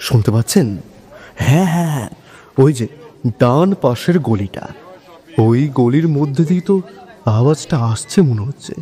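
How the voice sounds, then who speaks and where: A man with a West Bengal accent narrating a scripted love story, expressive and conversational, speaking straight to listeners. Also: mid-range voice, moderate pace, pauses often. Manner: expressive, conversational, with rhetorical questions. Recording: long reverb with music behind.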